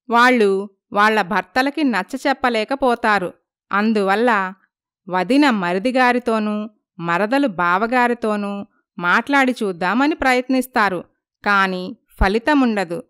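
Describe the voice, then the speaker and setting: Woman narrator, scripted moral storytelling, fairly high pitch, smooth clear voice. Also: dry recording, studio quality.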